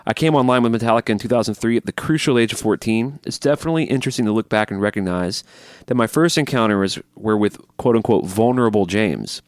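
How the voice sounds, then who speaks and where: A man's voice: fairly low pitch, no echo, studio quality. A man co-hosting a podcast, reading listener emails aloud and chatting eagerly, often cutting in over his co-host. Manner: quick, chatty, jumps in over the other host.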